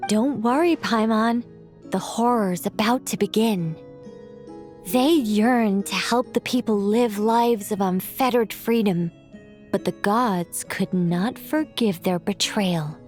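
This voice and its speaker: A woman playing a serene, ancient angel storyteller in a video game, eloquent and measured, with a wry, gently teasing streak. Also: mid-range voice, soft background music, close to an everyday voice. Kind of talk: video game character acting, storybook narration.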